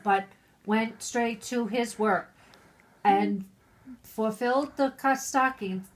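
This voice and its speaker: A middle-aged woman who is drunk, reading aloud haltingly with chatty asides, stumbling over and repeating words. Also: a little high. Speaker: mother reading a Christmas poem aloud.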